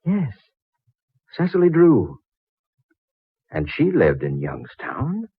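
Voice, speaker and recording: An American actor playing an astonished, excitable man in a 1940s radio drama, with a husky voice and dramatic delivery. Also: mid-range voice, takes it slowly, no echo, heavily acted. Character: excited, astonished man making an attic discovery. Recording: tinny, dry vintage radio recording.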